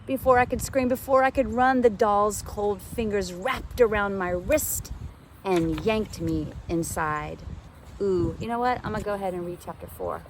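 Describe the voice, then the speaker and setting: A woman's voice: mid-range voice, normal speed, a little hiss or hum. A woman reading a children's novel aloud like a teacher, with dramatic, suspenseful expression. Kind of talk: expressive storybook read-aloud.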